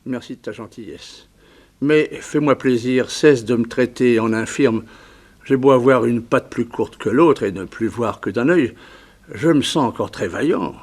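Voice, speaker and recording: A man's voice: mid-range voice, a little slow, a little mellow, breathy edge. An actor playing a self-pitying, devoted older father and former surgeon in a 1960s French radio drama, with a theatrical, sighing delivery. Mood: weary tenderness. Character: ailing, embittered older doctor, devoted father, once a surgeon.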